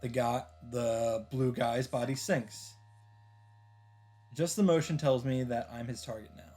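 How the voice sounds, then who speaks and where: A man's voice: medium pitch, fairly even intonation, measured pace, warm tone, slightly rough. A man with a mid-range voice reads a visual novel aloud as a let's player. He moves between story narration and casual, joking asides. Game music plays behind his voice.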